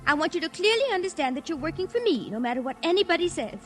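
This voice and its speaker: A woman's voice: very high-pitched, sing-song, very fast, faint music behind. An actress playing a fussy, insistent, anxious American countrywoman reading out a letter in 1940s radio style. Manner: fussy, emphatic.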